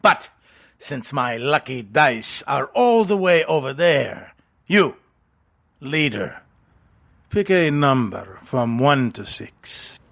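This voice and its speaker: A creaky, forceful hitman for an audio drama. He takes his time and taunts with dry wit, his pitch moving freely while he stays coolly menacing. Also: sing-song, heavily acted. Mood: taunting. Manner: deliberate, wry, taunting. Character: unpredictable male international assassin with lucky dice, coolly menacing.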